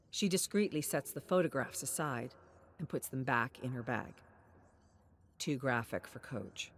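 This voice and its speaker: A woman with a low voice narrates a dramatic story from a script. Faint ambient noise sits behind her narration.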